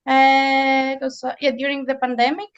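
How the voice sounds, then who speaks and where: A woman in her forties from Greece, a native Greek speaker, chatting as a guest author in an interview and pausing often to think. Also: sits high, flat delivery, dull audio, faint music behind. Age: 40s. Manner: thoughtful, frequent hesitations. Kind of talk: casual interview chat.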